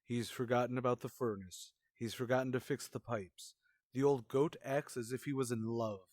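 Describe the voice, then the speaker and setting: A man's voice: medium pitch, steady tone, normal speed, a little mellow, no echo, close to an everyday voice. An actor playing a grumpy, sarcastic older male school principal in a radio comedy, speaking in a dry, withering, pompous way. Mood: grumbling, scornful. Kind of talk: old-time radio comedy acting.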